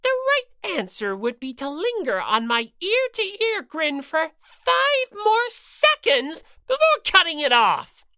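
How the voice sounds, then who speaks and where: A woman's voice: very high voice, huge pitch swings, takes it slowly, bright, forceful tone, dry recording, studio quality, pitched above the performer's own voice, heavily acted. A woman voicing a gleefully cruel, bossy male robot bear mascot, taunting and theatrical, for an audiobook. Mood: bellowing, indignant. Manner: theatrical, taunting, mock-polite then bellowing. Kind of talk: audiobook character voice.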